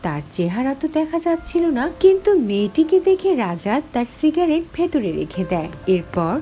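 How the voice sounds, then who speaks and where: A woman's voice: a little high, sing-song, moderate pace, dark tone, a little hiss or hum. A woman narrating a scripted horror story dramatically, in the first person as its heroine. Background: music.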